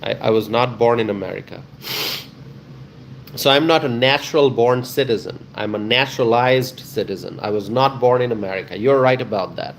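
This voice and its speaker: A man hosting a live stream talks casually with his viewers. He reads their comments aloud, answers each one, and repeats key phrases for emphasis. His voice is mid-pitched, and a faint hiss of background noise sits under it. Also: moderate pace, vocal fry. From Bangladesh.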